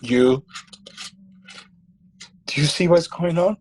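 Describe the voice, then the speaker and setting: A man's voice: fairly high pitch, takes it slowly, low-quality recording. An actor playing a proud, bitter, hard-drinking old father with a stern, commanding manner in a dramatic play reading. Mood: bitter, lonely.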